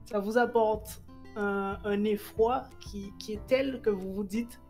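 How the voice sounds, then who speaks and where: A woman chatting about a manga in lively, quick bursts, often restarting her sentences and filling pauses. Her voice sits in a middle pitch. Faint music plays underneath. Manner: animated, quick bursts with many fillers and restarts. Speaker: manga reviewer. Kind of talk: casual chat.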